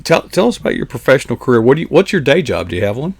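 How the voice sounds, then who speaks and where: A man's voice: mid-range voice, very animated, rapid-fire, gravelly. An older man hosting a live online interview, folksy and encouraging, asking rambling questions. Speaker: show host.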